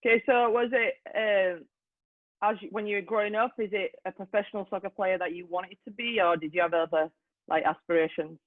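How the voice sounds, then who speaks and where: A woman's voice: medium pitch, steady tone, a little fast, no room sound. A woman coach with an English accent hosting a video call Q&A, chatty and often saying "okay". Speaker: youth soccer coach, host. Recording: tinny, dry video call audio.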